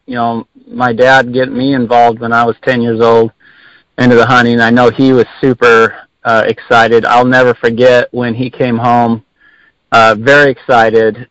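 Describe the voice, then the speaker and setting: Male interview guest, mid-pitched voice, casual and conversational, slightly muffled dry line. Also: fairly bright tone, no room sound. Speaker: hunting guide, podcast guest.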